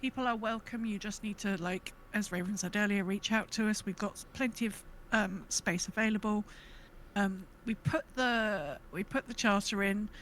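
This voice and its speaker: A woman's voice: medium pitch, moderate pace, a bit bright, slight background noise, poor audio. A woman co-hosting a casual gaming podcast, chatting in an informal, upbeat way. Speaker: podcast co-host.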